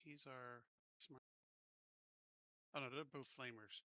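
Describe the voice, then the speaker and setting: A man's voice: mid-range voice, steady tone, slow, sharp tone, no echo, lo-fi sound. A man playing a tabletop game over online voice chat, thinking aloud in casual speech as he plans moves. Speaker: player.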